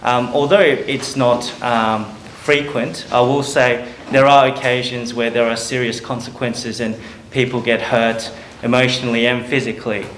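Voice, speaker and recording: A man's voice: mid-range voice, level tone, normal speed, a bit bright. A man who works as a lawyer, giving panel remarks carefully with frequent ums. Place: community forum venue. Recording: echoey hall, light noise.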